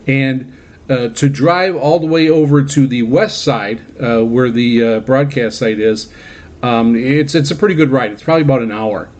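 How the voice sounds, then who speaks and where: A man hosting a hobby radio program in a casual monologue, speaking haltingly with many uhs and repeated words. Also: mid-range voice, a little mellow. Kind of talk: casual radio monologue recounting personal trips. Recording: dull, lo-fi band-limited audio.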